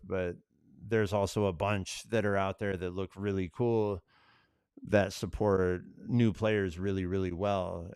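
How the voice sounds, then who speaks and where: A man's voice: low voice, darkish tone, clear, resonant tone, no echo, clean studio sound. A man chatting casually on a gaming livestream, laid-back and musing aloud to viewers. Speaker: live streamer.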